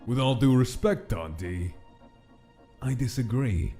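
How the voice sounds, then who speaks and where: A man acts out a scheming monster gangster for a comic dub. The voice is mellow and animated, smooth with false courtesy, over faint music. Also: medium pitch, a little slow. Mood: smug, falsely polite. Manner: smooth-talking, falsely polite. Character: scheming skeleton gangster monster feigning friendliness. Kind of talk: comic dub character acting.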